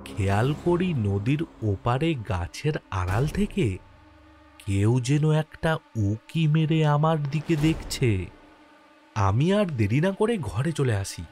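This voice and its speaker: Guy as a spooked postmaster telling a horror cartoon story, mid pitch that swings a lot, faint music. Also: big pitch swings, slow, a little mellow. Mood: fearful. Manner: suspenseful, theatrical. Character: jittery postmaster narrating his own haunting. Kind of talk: dramatic horror cartoon narration.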